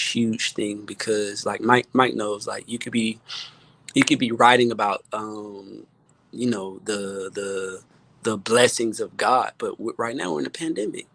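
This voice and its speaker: A man speaking as a guest producer and songwriter in a casual panel chat, halting and repeating words as he thinks, often adding "you know". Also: lowish voice, creaky, fairly bright tone, no room sound.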